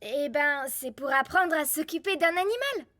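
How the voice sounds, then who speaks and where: A woman's voice: high voice, animated, moderate pace, bright, forceful tone. A woman voicing a bossy but caring, organised young schoolgirl in a French cartoon, firm and childlike.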